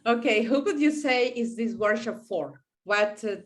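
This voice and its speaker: A woman's voice: fairly high pitch, expressive, unhurried. A woman interviewing a guest speaker, asking questions in an encouraging way.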